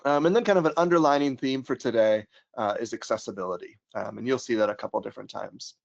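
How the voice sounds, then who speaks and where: An American man guides a software webinar in an easygoing, filler-heavy way. His voice sits at a middle pitch. It comes through a computer mic on a call, a little dull. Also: quick pace, creaky. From United States. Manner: casual, many ums and restarts, upbeat when introducing topics. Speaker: trainer and pedagogical consultant. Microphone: computer mic on a video call. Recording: slightly dull webinar audio.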